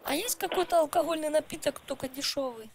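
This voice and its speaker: A man's voice: extremely high pitch, quick pace, vocal fry, slight background noise. A man voicing a whiny, stammering boy who makes a prank call and thinks he is special. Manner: stammering, sulky, petulant. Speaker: prank caller. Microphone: handheld mic.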